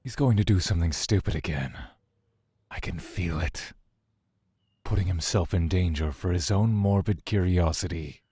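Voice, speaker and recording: An actor playing a brooding, aloof god in an audio drama, wary yet curious, with formal, archaic phrasing. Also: male voice, low-pitched, normal speed, warm tone, husky, dry recording. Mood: aloof, unafraid.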